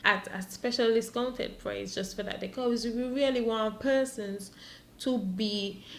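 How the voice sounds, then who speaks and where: Woman, Caribbean accent, creaky voice, interview answers from a departmental spokesperson, roomy echoing sound. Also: fairly high pitch, steady tone, a little slow. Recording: echoey room with clear background noise.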